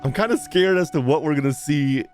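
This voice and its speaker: A man's voice: a little high, lively intonation, brisk, a little mellow, faint music behind. A man giving casual gaming commentary, reacting aloud in a slangy, chatty way as he plays. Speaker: streamer playing and reacting to a game.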